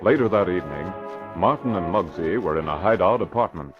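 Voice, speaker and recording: An actor as the narrator of a 1940s radio serial, dramatic and scripted in delivery. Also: male voice, low voice, expressive, fairly quick. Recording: muffled old radio, loud music.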